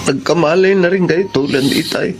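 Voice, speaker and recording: A man's voice: on the high side, a little fast, over music, lightly acted. A young man playing a wayward, remorseful gambler son in a Filipino radio drama.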